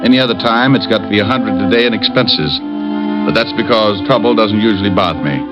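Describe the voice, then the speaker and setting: An actor playing a world-weary but soft-hearted private eye in a vintage radio drama, talking in a laconic, casual, wry way. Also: male voice, lowish voice, a little fast, loud music behind the voice, close to an everyday voice. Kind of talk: 1940s-50s radio drama acting and narration. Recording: dull lo-fi old radio recording.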